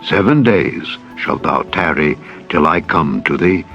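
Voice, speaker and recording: A man voicing a solemn prophet giving instructions in measured, archaic, formal scripture language. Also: low voice, animated, fairly bright tone, pitched below the performer's own voice, heavily acted. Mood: solemn, instructing. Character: solemn male prophet instructing a newly anointed leader. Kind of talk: dramatized scripture reading. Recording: dull radio-like sound, music behind.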